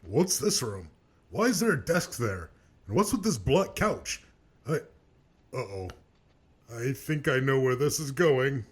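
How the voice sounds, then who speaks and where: Man playing a vain, theatrical, highly animated cartoon guy. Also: unhurried, long pauses, husky. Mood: irritated. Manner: theatrical, whiny, boastful. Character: vain, cowardly, excitable man who insults his rival. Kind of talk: comedic cartoon voice acting.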